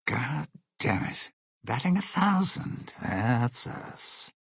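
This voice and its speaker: An actor playing a sly, world-weary lounge singer in a video game, talking in wry showman's patter and dated slang with a gravelly, husky voice. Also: medium pitch, big pitch swings, slow pace, fairly bright tone, no echo, clean studio sound, full character voice. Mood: irritated, sardonic. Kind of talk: video game companion voice lines.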